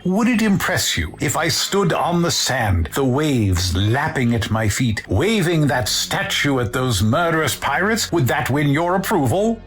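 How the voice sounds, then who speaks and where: A man's voice: on the high side, very animated, a little slow, fairly bright tone. Man playing a haughty self-serving official, scornful, pompous, audio drama, faint music behind. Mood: haughty defiance. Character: cowardly, self-centered, haughty male official.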